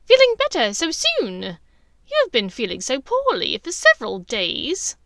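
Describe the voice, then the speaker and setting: A woman's voice: high voice, huge pitch swings, measured pace, vocal fry, bright, forceful tone, dry recording, clean studio sound, pitched above the performer's own voice, full character voice. A woman voicing a snide, suspicious aristocratic sister in a storybook, with heavy emphasis.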